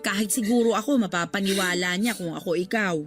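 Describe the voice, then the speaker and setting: A woman's voice: mid-range voice, a little fast, faint music behind, natural voice. A woman with a Filipino accent playing a blunt, protective friend in a radio drama, emphatic and indignant. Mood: sympathetic, indignant. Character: indignant, protective female friend of a wronged wife. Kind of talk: Tagalog radio drama acting.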